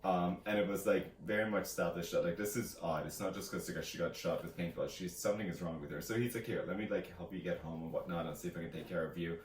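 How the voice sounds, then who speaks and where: A man's voice: low-pitched, quick pace, few pauses. A man chatting casually as a vlog co-host, retelling a story in a rambling, hesitant way. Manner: rambling, hesitant, quoting characters in casual asides. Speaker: co-host of a book discussion vlog. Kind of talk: casual chat, retelling a novel's plot. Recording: echoey room, faint background noise.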